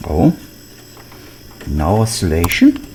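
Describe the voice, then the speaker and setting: Man, deep-pitched, unhurried, halting phrases, casual repair commentary, noisy clicky recording. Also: huge pitch swings, a little mellow. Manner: halting, thinking aloud in short fragments. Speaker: electronics repair hobbyist presenting his restoration.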